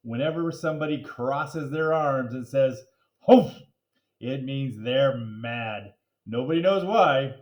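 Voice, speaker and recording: A man's voice: medium pitch, a little slow. A man reading a children's chapter book aloud, with playful, jokey asides to his listeners. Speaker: reader.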